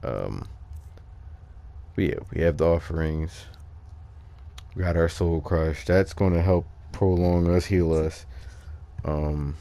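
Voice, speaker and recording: A man giving casual, off-the-cuff game walkthrough commentary, thinking aloud in a halting way. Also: low-pitched, creaky, mellow. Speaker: gaming video host.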